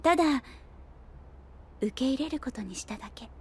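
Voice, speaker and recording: An actress playing a thoughtful young woman scientist in an audio drama, gentle, reflective and politely spoken. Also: sits high, lively intonation, normal speed, breathy edge, exaggerated voice. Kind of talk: Japanese audio drama acting. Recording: soft music bed behind.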